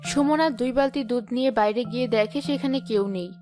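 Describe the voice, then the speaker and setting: A woman's voice: high-pitched, fast, warm tone. Woman narrating a story over music.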